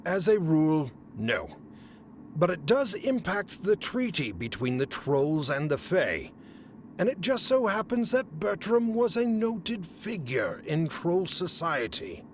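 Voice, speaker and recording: Guy acting a snooty fae bureaucrat, audio drama, highish voice, slow n formal, super exasperated. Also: very animated, a little hiss or hum, exaggerated voice. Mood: officious. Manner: haughty, officious, formal. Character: pompous, exasperated male fae council official. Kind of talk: scripted fantasy comedy audio drama.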